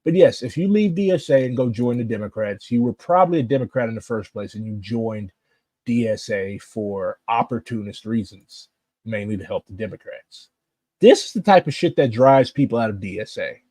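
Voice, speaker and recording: A man's voice: mid-range voice, lively intonation, moderate pace, a little mellow, no echo. A man with a St. giving blunt, impassioned political commentary. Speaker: political activist.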